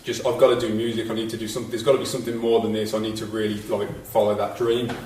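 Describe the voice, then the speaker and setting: A man giving a candid, relaxed talk as guest lecturer about his own career, with frequent pauses and ums. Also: on the low side. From England. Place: college hall. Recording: echoey hall from far off.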